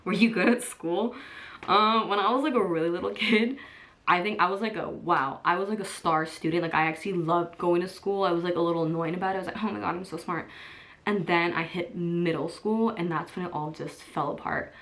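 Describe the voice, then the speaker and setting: A woman in her twenties chats to camera about herself in a relaxed vlog. Her voice sits a little low, and she rambles warmly, filling gaps with "like" and breaking into small laughs. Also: brisk. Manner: chatty, lots of "like" and "um", laughs easily. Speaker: vlogger. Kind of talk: casual get-to-know-me chat.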